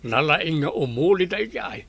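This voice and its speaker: A man's voice: on the high side, expressive, moderate pace, creaky, a bit bright, slightly rough, full character voice. A man playing a friendly old village man in a radio drama.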